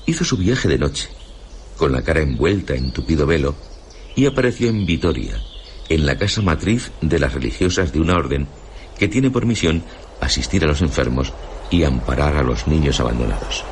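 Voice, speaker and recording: A man's voice: extremely low pitch, expressive, normal speed, a little hiss or hum. An actor from a radio drama playing a grave older male storyteller with a Spain accent, telling a tragic village tale in a solemn, literary manner. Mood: solemn, sorrowful.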